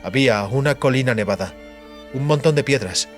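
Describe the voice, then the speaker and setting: A man reading a fantasy audiobook in a measured, dramatic storytelling manner. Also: medium pitch. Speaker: audiobook narrator. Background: background music.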